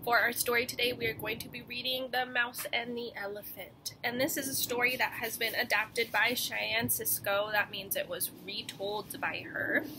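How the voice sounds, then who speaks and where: A woman's voice: fairly high pitch, fairly even intonation, normal speed, hardly any pauses, noisy background. A young woman teacher reading a storybook and giving a lesson to children. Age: young adult. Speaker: elementary school teacher.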